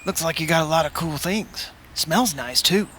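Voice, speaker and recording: A man's voice: a little high, normal speed, sharp tone, slight background noise. A man playing an easygoing, clueless city tourist, talking casually in a comic radio skit. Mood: friendly, curious. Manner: casual, laid-back.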